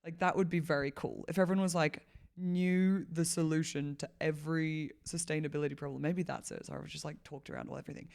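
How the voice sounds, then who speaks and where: A woman's voice: sits low, steady tone, fast talker, creaky, a little mellow. A woman from Malawi talking as a podcast guest in a loose, rambling way, leaning hard on "like" and laughing often. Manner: rambling, heavy use of "like" fillers, laughs often. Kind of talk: casual conversational interview.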